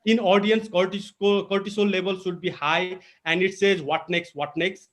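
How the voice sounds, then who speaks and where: Nepali guy teaching a speaking webinar on a call, pretty high voice, keeps hammering and repeating his points. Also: fairly even intonation, normal speed, a bit bright. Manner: emphatic, repetitive teaching delivery with code-switching. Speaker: public speaking trainer. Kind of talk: webinar lecture. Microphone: video call.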